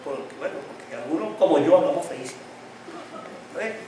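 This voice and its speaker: A male pastor preaching with a fairly high voice, drawing answers from his congregation with repeated questions. A slight tongue-tie tangles his words at times. He is heard from some distance in a very echoey church hall. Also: expressive, fairly quick, background noise. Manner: call-and-response questions to the congregation. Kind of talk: sermon.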